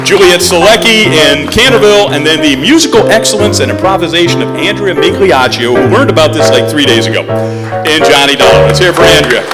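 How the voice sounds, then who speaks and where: A man's voice: fairly high pitch, expressive, brisk. A man hosting a live stage show, giving informal, jokey and effusive thanks and announcements into a microphone. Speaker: show host thanking cast and audience. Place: stage in a large hall, at a microphone. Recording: echoey hall stage recording with music.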